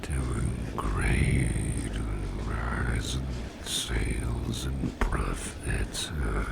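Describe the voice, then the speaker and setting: Rumbling, sleepy monster boss. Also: male voice, steady tone, exaggerated voice. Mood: cosy, soothing. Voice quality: very deep, gravelly, breathy murmur. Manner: drowsy, halting, mumbling with drawn-out words. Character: sleepy, gluttonous, protective monster businessman boss with talons. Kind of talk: ASMR roleplay. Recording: heavy background noise.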